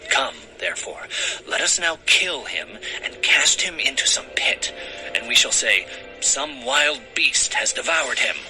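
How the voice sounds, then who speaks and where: An actor playing a jealous, scornful brother plotting murder in a dramatized audio Bible, gravelly and menacing. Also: male voice, fairly high pitch, wildly swooping pitch, a little slow, bright, forceful tone, faint music behind, exaggerated voice, rough recording. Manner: menacing, conspiratorial.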